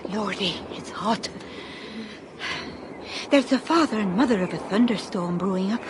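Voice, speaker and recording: A woman's voice: medium pitch, unhurried, close to an everyday voice. A woman playing a spirited, chatty young girl in a radio drama, colloquial and well-spoken. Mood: weary, uneasy. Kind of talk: British radio drama acting. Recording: clear outdoor ambience noise.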